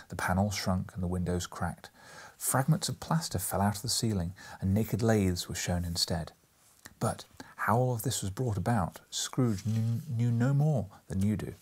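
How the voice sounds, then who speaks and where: A man reading a classic story aloud as an audiobook narrator, expressive and theatrical with breathy emphasis. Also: lowish voice.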